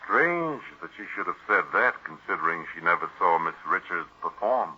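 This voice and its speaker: An actor playing a measured, probing family doctor in an old-time radio mystery. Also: male voice, deep voice, big pitch swings. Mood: suspicious. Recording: muffled old radio recording.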